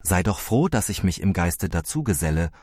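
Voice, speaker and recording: Low-voiced poetic commune man, acted. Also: very animated, normal speed, darkish tone, no room sound, clean studio sound. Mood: reproachful, teasing. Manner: poetic, stilted sayings. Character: lyrical commune-dwelling man, gently aphorising ex-lover. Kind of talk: audio drama dialogue. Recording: faint background noise.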